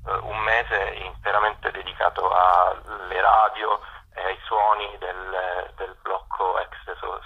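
A man being interviewed on radio by phone, explaining things in a halting way with many pauses. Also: low voice, breathy edge. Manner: hesitant, halting with frequent pauses. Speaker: community radio programmer, interview guest. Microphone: phone call. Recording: dull telephone call.

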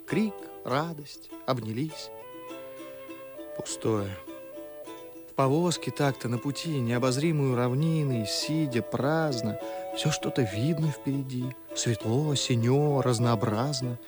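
A brooding, disillusioned young gentleman, acted by a man from a classic radio play. He declaims slowly with bitter irony in a mid-pitched voice, with music behind him. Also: natural voice. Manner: declamatory, reflective, sardonic. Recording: music under the voice.

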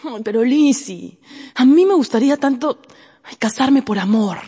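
A woman acting a gentle, romantic young daughter in a radio drama, soft and wistful. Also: high voice, lively intonation, moderate pace, slightly rough. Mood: wistful, curious.

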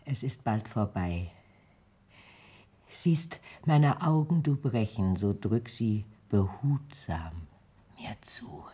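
An actress playing a dying old peasant mother in a radio drama, anxious and plaintive, with a weak, breathy, faltering voice. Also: extremely low pitch, lively intonation, slow, warm tone, exaggerated voice. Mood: weary pleading. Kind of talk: theatrical radio drama acting.

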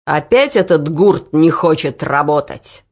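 A brutal male overseer, as a woman puts him on, with a gruff, growling voice pushed low and heavy, spitting out slow, menacing threats. He is gravelly, and the recording sounds dull and lo-fi, like an old storybook tape. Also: lively intonation, heavily acted. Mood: angry. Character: cruel shouting male overseer with a whip.

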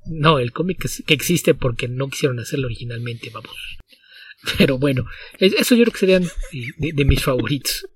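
A man co-hosting a podcast, chatting casually about comics and movies in a wry, relaxed way and reading listener questions aloud. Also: medium pitch, brisk, slightly rough, far from the mic. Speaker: podcast co-host.

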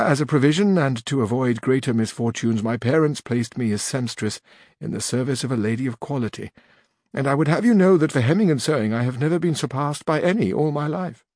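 A man's voice: darkish tone, dry recording, studio quality, close to an everyday voice. A man reading the part of an elderly widowed duenna who tells her story at length and turns tearful. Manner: long-winded, earnest, rises higher when weeping. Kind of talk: audiobook narration.

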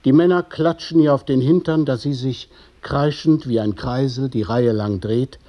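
A man narrating a radio play in the present tense, in a measured, literary storytelling manner. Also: medium pitch, mellow, natural voice. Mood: observing. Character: observing narrator of stage directions.

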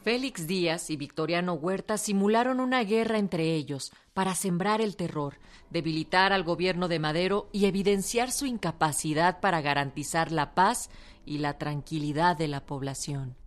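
A woman's voice: on the low side, normal speed. A woman reading scripted historical narration in a radio production. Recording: music bed behind narration.

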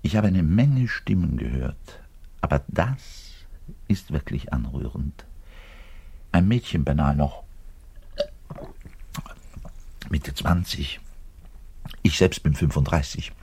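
An actor playing a garrulous, self-important double bass player in his thirties, delivering a digressive radio play monologue straight to the listener with halting self-corrections. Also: lively intonation, creaky, darkish tone, husky, heavily acted. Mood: wistful, smitten. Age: 30s. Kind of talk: conversational theatrical monologue addressed to the listener.

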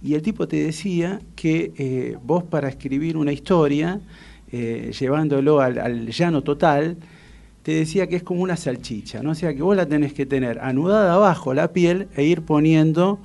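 A man's voice: on the high side, normal speed, gravelly, a little mellow. A man with an Argentine accent hosting a casual radio interview, rambling conversationally as he searches for his words. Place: radio studio.

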